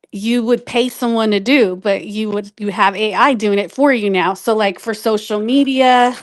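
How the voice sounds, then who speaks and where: A woman with a fairly high voice chats as a show guest. She explains informally and a bit hesitantly, finding her words as she goes. The recording is dry, without room sound. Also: no room sound. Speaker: guest on a live online show. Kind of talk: casual explanatory chat.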